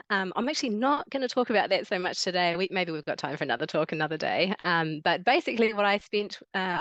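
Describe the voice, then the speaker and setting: A woman's voice: mid-range voice, a bit bright, no echo. Chatty New Zealand woman presenting. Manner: friendly, chatty, frequent ums and restarts. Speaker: guest speaker, wildlife biologist. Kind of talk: online presentation talk.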